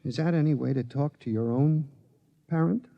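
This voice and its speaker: An American actor playing an anxious, indignant inventor in a 1950s radio drama, earnest and flustered. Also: male voice, a little high, moderate pace, vocal fry, no echo, highs cut off. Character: anxious, indignant, frustrated inventor, young man.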